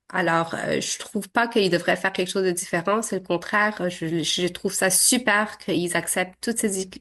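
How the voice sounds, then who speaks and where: A woman in her thirties, originally from Syria and raised with Arabic as her first language, answers interview questions. She speaks haltingly, filling gaps and restarting her sentences as she goes. Her pitch sits at an ordinary middle height. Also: fairly bright tone. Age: 30s. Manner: hesitant, many fillers and restarts.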